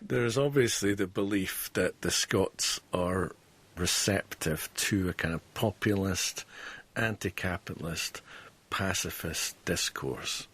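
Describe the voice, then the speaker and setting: A man with a low, husky voice speaks as an expert researcher. He explains historical findings in interview style for a radio documentary. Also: takes it slowly, fairly bright tone.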